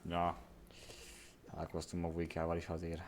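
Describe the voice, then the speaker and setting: Low-pitched Hungarian man streaming. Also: monotone, moderate pace, a little hiss or hum. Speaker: game streamer. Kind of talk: casual live game commentary.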